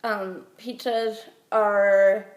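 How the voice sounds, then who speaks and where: A young American woman from Utah giving chatty, halting answers as a job applicant in an interview, while getting over a head cold. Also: mid-range voice, level tone. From Utah, United States. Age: young adult. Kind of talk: informal phone interview answers. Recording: roomy echo.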